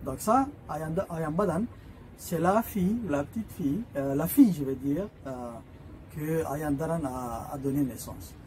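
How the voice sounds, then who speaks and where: A man whose first language is Somali speaks as an author introducing his books. His voice sits a little high, with a soft, mellow tone. He pauses often, filling gaps with hesitation sounds and restarting his sentences as he explains. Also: slight background noise. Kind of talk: informal spoken book presentation.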